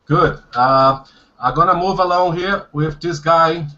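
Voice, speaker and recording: A Brazilian man runs a casual group video call with an upbeat, chatty energy. His voice is bright and sits a little high, and the audio comes through dull and lo-fi. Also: unhurried. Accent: Brazilian Portuguese. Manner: upbeat, switches between Portuguese and English, laughs often. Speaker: host of a language-practice video call.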